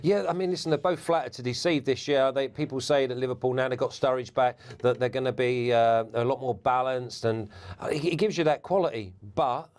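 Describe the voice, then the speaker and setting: A man, a former footballer, giving relaxed football punditry as a guest, laughing easily and restarting words. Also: medium pitch, brisk. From England.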